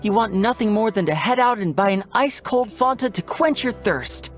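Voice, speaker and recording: A woman's voice: medium pitch, animated, moderate pace, close to an everyday voice. A woman playing a playful young stage magician in a video game, charming, teasing and showy in delivery. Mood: teasing, animated. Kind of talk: scripted video game character dialogue. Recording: music behind the voice.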